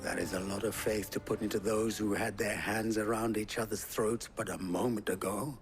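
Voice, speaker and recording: A man's voice: on the low side, steady tone, moderate pace, soft background music, lightly acted. An actor playing a skeptical, plain-spoken man in a video game, with a dry, wary manner. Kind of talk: video game cutscene acting.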